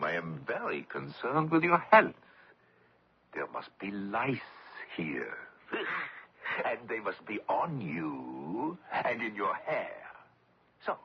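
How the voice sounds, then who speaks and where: On a dull, hissy old radio recording, a cruel interrogator and collaborator. His low voice swings widely in pitch. He is pompous and menacing, drawing out his words with theatrical relish. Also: heavily acted. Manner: imperious, menacing, deliberate theatrical phrasing. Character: cruel, pompous male French collaborator interrogator, sadistic and sneering. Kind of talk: 1970s radio drama acting.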